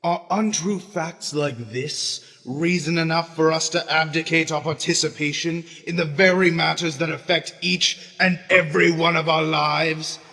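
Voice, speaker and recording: A man's voice: a little high, fairly even intonation, unhurried, hardly any pauses, sharp tone. An actor playing a male pony orator in a radio drama, delivering impassioned, rhetorical political speech against a tyrant ruler. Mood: scornful, persuasive. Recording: echoing hall with long reverb.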